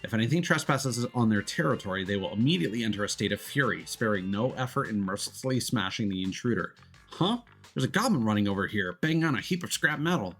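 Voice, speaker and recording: A man's voice: on the low side, expressive, a bit bright. Man rambling through a game stream. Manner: rambling, halting with repeated filler words. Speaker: VTuber streamer. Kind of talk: casual let's play commentary, reading game text aloud. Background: faint game music.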